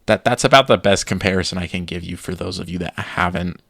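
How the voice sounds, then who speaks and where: A man co-hosting a podcast in casual chat, giving opinions with halting restarts. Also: sits low, creaky, a bit bright, dry recording, clean studio sound. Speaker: podcast co-host.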